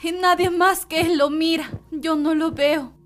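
A woman's voice: extremely high pitch, steady tone, measured pace, fairly bright tone, a little hiss or hum. A woman playing a steadfast village mother, a dancer's wife, solemn and poetic, in a radio drama. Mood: solemn, yearning.

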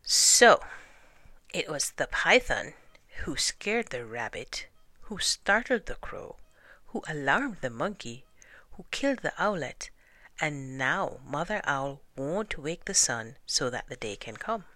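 Woman as storybook lion king, stern, declamatory, forceful, widely swinging pitch, close dry sound. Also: big pitch swings, unhurried, no echo, exaggerated voice. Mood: pronouncing judgment. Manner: declamatory, cumulative repetition. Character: commanding lion king, stern judge of the animals. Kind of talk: animated folktale storytelling.

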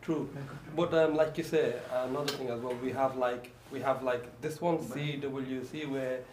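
A man's voice: medium pitch, steady tone, moderate pace, a little mellow. A man whose first language is Bengali speaks as a guest councillor in a casual interview. He talks in fits and starts, repeating phrases and stacking fillers. The room is echoey, with a light hiss behind him. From Bangladesh. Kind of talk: conversational interview. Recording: echoey room with faint background noise.

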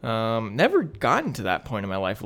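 A young American man with a very lively, swooping intonation chats as a podcast co-host. He is casual and jokey, quick to tease and to jump in with short reactions. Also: lowish voice. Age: young adult. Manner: joking, teasing, interjecting. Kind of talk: casual chat.

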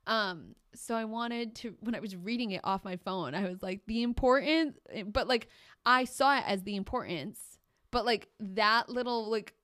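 A woman's voice: medium pitch, normal speed, fairly bright tone, no room sound, studio quality. A woman with an American accent co-hosting a podcast in casual, chatty, joking banter. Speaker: podcast co-host.

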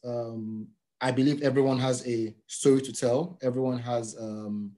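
A man hosting a casual interview, whose first language is Yoruba, talking in a relaxed, friendly way and often repeating himself with fillers. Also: medium pitch, a little slow. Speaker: podcast host.

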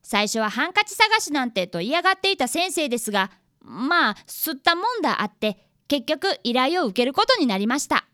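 Woman as a bright, high-voiced earnest young boy. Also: sing-song, fairly quick, no room sound, studio quality, heavily acted. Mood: earnest narrating. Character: polite earnest young boy, fifth-grade detective apprentice. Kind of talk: radio drama acting and narration.

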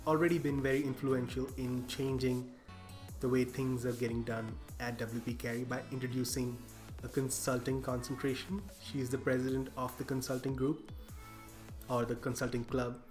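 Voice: mid-range voice, level tone, moderate pace, darkish tone. Upbeat podcast interviewer over faint music. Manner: upbeat, brief acknowledgments.

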